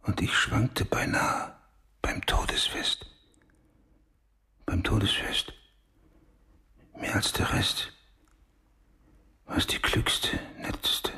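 An actor playing a brooding, haunted male police detective in a German radio crime drama, muttering in terse fragments with a husky, gruff voice. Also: very slow, pauses often, bright, forceful tone, in a whisper, full character voice. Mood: haunted, whispering. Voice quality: husky, gravelly.

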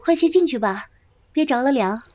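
A woman acting a high-voiced, gentle wife. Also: animated, moderate pace, a little hiss or hum. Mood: gentle concern. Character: gentle, attentive young wife in old China. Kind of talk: costume audio drama acting.

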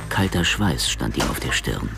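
A man's voice: rumbling low, animated, normal speed, gravelly, over loud music, heavily acted. A man narrating a crime story as the scripted storyteller of a radio drama.

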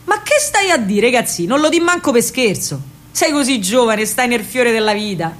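A woman's voice: fairly high pitch, big pitch swings, normal speed. A woman voicing a big, protective older male bookseller with a Roman accent and an exclamatory, avuncular manner, in a dramatic monologue. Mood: concerned, teasing.

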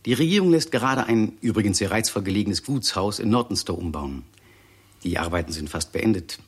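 An actor playing a courteous, diplomatic state secretary in a 1960s radio play, speaking in a polite, measured, persuasive way. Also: male voice, lowish voice, lively intonation, husky. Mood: formal, persuasive.

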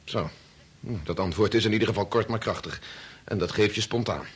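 A man's voice: fairly low pitch, brisk, slightly rough. An actor in a Dutch radio play, playing a bitter, impatient young man who argues and stammers when thrown. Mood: challenging. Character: bitter, impatient son working on his father's medical experiment.

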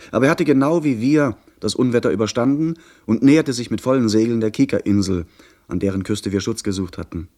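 A man playing an earnest young gentleman who narrates his pirate adventure in measured, literary German radio-drama style. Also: fairly low pitch, natural voice. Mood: grave, recounting.

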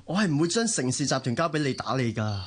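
An actor playing an angrily defiant young company boss held captive, strained and husky, in a Hong Kong Cantonese radio drama. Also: male voice, mid-range voice, big pitch swings, fast. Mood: defiant anger.

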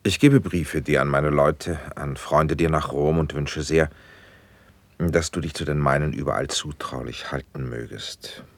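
An actor playing a gracious, measured prince in a classic German verse radio drama, speaking in formal, deliberate declamation. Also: male voice, rumbling low, creaky, no echo, exaggerated voice. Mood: gracious, fond.

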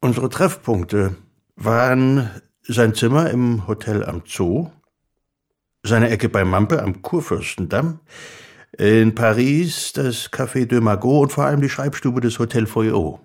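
An actor playing a publisher who fondly recalls his late author in a radio play, measured and reverent. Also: mid-range voice, a little mellow. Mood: fond, reflective.

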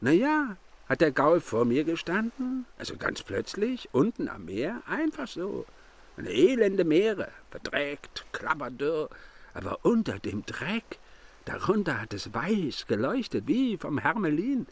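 A man in a German radio play acting a sly, boastful village fiddler who trades horses and tells halting tales. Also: fairly high pitch, huge pitch swings, heavily acted. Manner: halting, boastful, mock-servile.

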